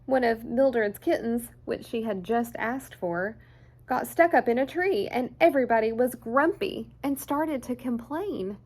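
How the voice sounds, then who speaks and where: A woman reading a children's picture book aloud in an expressive, deliberate storyteller's way. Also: a little high. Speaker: children's story time host.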